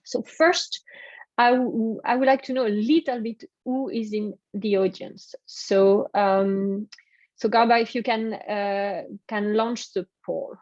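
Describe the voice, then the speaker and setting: A woman's voice: medium pitch, steady tone, takes it slowly, darkish tone, no echo, dull audio. A woman from France with a French accent, presenting a business workshop over a video call, hesitant and full of fillers. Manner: hesitant, many fillers and restarts. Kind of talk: webinar presentation.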